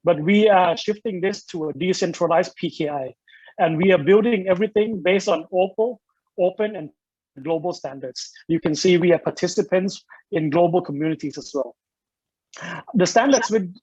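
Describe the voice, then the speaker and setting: Man pitching his startup over a dull video call. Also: dry recording. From Thailand. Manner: steady pitch delivery with frequent ums and restarts.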